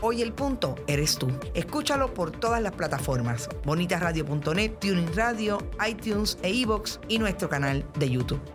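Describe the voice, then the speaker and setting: A woman's voice: on the low side, big pitch swings, normal speed, few pauses, over music. A woman reading a scripted radio promo as the announcer for a talk show.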